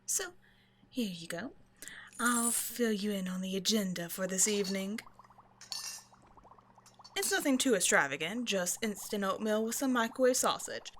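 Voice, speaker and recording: Lady playing a vampire scientist captor for an asmr roleplay, mock sweet, smug and teasing, kinda theatrical, medium pitch. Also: moderate pace, long pauses, slight background noise, close to an everyday voice. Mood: playful, teasing. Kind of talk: scripted ASMR roleplay monologue addressed to the listener.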